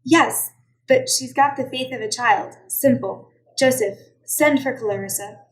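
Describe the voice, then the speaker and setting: A woman playing a calm senior angel in charge of heaven in a radio play. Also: fairly high pitch, unhurried, lightly acted, low-quality recording. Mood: calm, deliberate.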